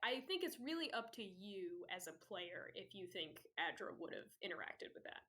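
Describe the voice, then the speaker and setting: A woman's voice: mid-range voice, big pitch swings, normal speed, sharp tone. A woman running a tabletop role-playing game, talking casually and rambling with lots of fillers and easy laughter. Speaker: game master.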